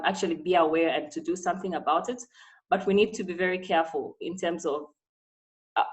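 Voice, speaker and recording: Woman presenter with a lowish voice, earnest and advising, on a video call. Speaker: webinar speaker.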